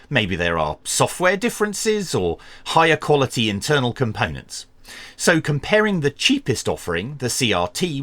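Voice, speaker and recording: A man presenting a scripted product review in a measured, dryly humorous presenter's delivery. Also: medium pitch, fairly bright tone. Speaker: radio review channel host.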